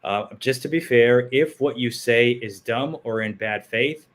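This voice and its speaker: A man's voice: mid-range voice, unhurried. Guy cohosting a livestream from home, blunt and jokey, says what he thinks. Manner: blunt, opinionated, joking. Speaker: co-host. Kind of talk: casual livestream chat. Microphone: home setup over internet call.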